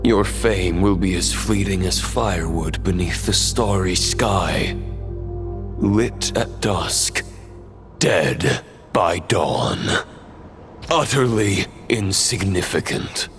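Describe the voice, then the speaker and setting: Solemn, low-voiced fallen knight. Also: male voice, lively intonation, takes it slowly. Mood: scornful, ominous. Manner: solemn, theatrical, condescending. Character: proud grave fallen knight Roland, taunting foe. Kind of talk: dramatic video game voice acting. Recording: music behind the voice. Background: dramatic game music.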